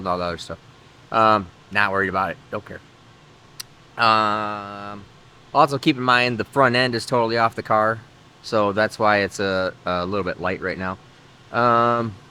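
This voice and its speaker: A man's voice: fairly low pitch, sharp tone, a little hiss or hum. A man hosting a car vlog, talking casually in a workshop, rambling with lots of filler words. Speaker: host of a car-building channel. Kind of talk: casual garage vlog talk.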